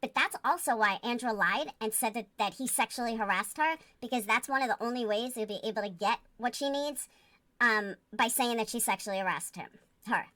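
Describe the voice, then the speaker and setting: A woman with a high-pitched voice talks in a close, dry sound. She is blunt and sure of herself, laying out her opinions with firm emphasis in the casual way of a livestream commentator. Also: fairly quick, bright, forceful tone, no room sound. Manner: blunt, emphatic, self-assured. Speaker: online commentator.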